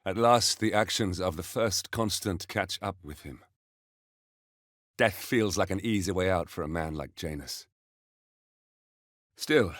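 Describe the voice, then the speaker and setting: A composed, brooding mercenary handler from a stealth video game. His voice sits low, and he delivers briefings in measured, understated phrases with a wry edge. The sound is dry and close, free of room echo. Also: fairly bright tone. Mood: grave, reflective. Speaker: mission handler. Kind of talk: scripted video game voice acting, mission briefing.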